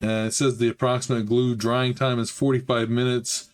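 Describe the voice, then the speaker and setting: A man hosting a hobby model-building channel, talking in a casual, rambling way with frequent fillers, his voice slightly hoarse. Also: medium pitch, little pitch movement, normal speed. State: slightly hoarse, recovering from a chest illness. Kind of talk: casual build vlog narration.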